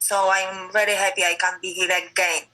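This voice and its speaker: A woman from Poland with a Polish accent, answering interview questions in simple, halting English with frequent fillers. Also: on the low side, flat delivery, pushed and bright, rough recording. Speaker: MMA fighter.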